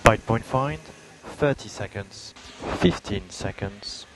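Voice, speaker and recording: A man's voice: fairly low pitch, animated, slow pace, background noise. A man race engineer calling out a countdown in short phrases over team radio.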